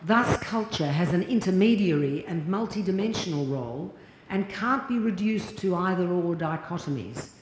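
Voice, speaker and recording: A woman's voice: lowish voice, a little mellow. Woman lecturing in an echoey hall. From Australia. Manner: measured, deliberate, reading from notes. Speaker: keynote lecturer. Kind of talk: formal read lecture. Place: large lecture hall at a podium. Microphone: lapel mic and podium mic. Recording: echoey hall, audible noise.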